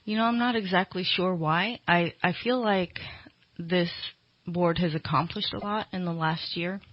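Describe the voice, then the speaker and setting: Female interviewee, political candidate; explanatory, slightly halting delivery, close dry sound, dull lo-fi recording. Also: fairly low pitch, no room sound. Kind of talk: conversational interview answers. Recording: dull, lo-fi radio interview audio.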